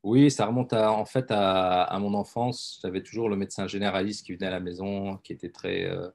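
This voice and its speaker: A man's voice: deep voice, fairly even intonation, fairly quick, vocal fry, a little mellow. A middle-aged man, a doctor talking as a guest in a casual interview, hesitant with many fillers and repeated words. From France. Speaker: guest, intensive care doctor.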